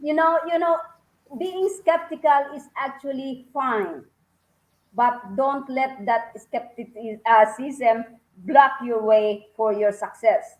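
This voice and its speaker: A woman's voice: high voice, slow pace, roomy sound, highs cut off. A woman from the Philippines, talking eagerly and rambling with many fillers and restarts on a video call. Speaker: panelist guest. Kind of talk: casual online panel talk.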